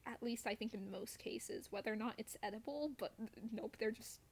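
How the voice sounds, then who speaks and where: A woman's voice: on the high side, fairly quick. A woman streamer chatting casually over gameplay in a playful, wryly self-deprecating way.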